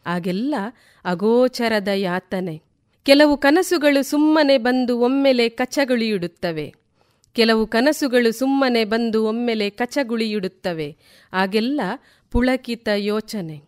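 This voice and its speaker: A woman reciting poetry in a deliberate way, repeating lines for emphasis. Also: fairly high pitch, darkish tone, clean tone. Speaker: poet reciting on a radio programme.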